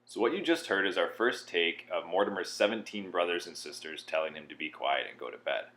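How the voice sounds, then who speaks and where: A man with a low voice presents a software tutorial. He explains each step in an informal way, as if guiding a beginner through it. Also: lively intonation, fairly quick.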